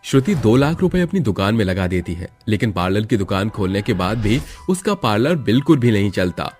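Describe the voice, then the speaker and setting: A man's voice: on the low side, very animated, brisk, darkish tone, faint music behind. A man narrating a scripted moral story as storyteller.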